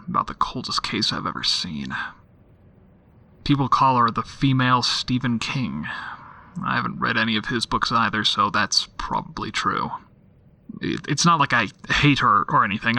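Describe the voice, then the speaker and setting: An actor playing a jaded, sceptical male homicide detective in an audio drama, dictating case notes in a dry, deadpan, irritable manner. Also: medium pitch, gravelly, heavily acted.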